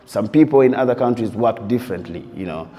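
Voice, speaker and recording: A man talks through his life in music with a low, warm voice. He speaks in a loose, storytelling way, dropping "you know" into nearly every thought. A long reverb trails behind his words. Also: lively intonation, quick pace. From Uganda. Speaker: DJ and producer. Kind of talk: reflective interview talk. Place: interview set while on tour abroad.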